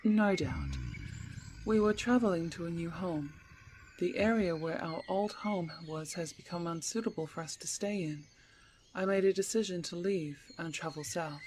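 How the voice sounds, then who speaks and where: A woman voicing a calm, regal, motherly bear queen with a measured, formal, reassuring delivery in a fantasy ASMR roleplay. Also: fairly low pitch, a little hiss or hum, close to an everyday voice. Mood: grave, measured.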